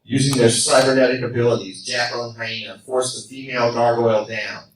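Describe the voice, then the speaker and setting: An actor narrating a radio play, reading scripted scene-setting lines. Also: male voice, mid-range voice, level tone, brisk, close to an everyday voice. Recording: echoey hall fan recording, distant, faint noise.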